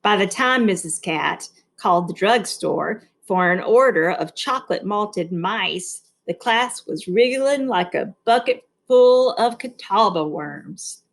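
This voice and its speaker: A woman with a medium-pitched voice reads aloud as a narrator. Her phrasing is deliberate and expressive, in the informal manner of someone guiding listeners through a book. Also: vocal fry. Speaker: read-along narrator. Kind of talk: reading a novel aloud with informal explanatory asides.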